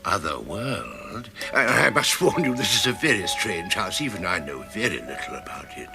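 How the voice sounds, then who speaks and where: An actor playing an eccentric, wise old English professor in a radio drama, pondering and emphatic. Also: male voice, fairly low pitch, sing-song, normal speed, gravelly, over music. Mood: musing. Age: older.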